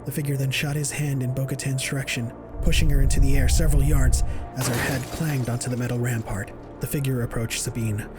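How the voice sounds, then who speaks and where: A man narrates an action audio drama in a mid-pitched voice. His delivery is scripted and dramatic, in the manner of an audiobook. A musical score plays clearly behind him. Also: fairly even intonation, fairly quick, over music.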